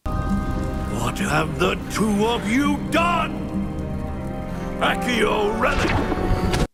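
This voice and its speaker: A man playing a gruff, angry wizard father from a fantasy game, with a Northern English accent. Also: sits high, wildly swooping pitch, extremely slow, over loud music, exaggerated voice.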